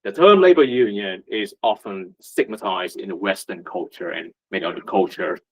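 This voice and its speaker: A man's voice: low voice, moderate pace. A man from Vietnam, giving a conversational talk at a meetup as a union representative, full of rhetorical questions and fillers. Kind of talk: presentation at a meetup. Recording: muffled lo-fi recording.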